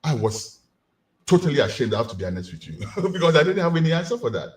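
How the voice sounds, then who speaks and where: A man's voice: a little high, fast. A Ghanaian man giving a community lecture, asking rhetorical questions to his listeners. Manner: animated, rhetorical questions to the audience.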